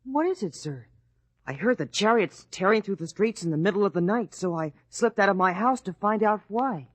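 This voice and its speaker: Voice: mid-range voice, animated, moderate pace, no echo, natural voice. A performer playing a curious, respectful young boy in a radio drama, eager and questioning. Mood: anxious curiosity.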